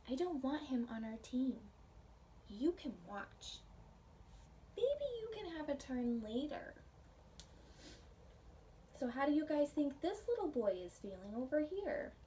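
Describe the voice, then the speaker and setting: A woman preschool teacher reading a picture book aloud in a gentle, simple teacherly way, pausing to put questions to young listeners. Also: a little high. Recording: distant microphone, faint background noise.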